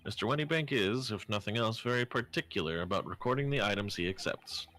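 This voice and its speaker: A lofty, eloquent gentleman detective acted by a man with a low voice. He is self-assured and pompous, turning old-fashioned phrases for a game dub, with faint music playing behind him. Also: lively intonation, quick pace. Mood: formal, explanatory. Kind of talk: fan dub acting of a video game.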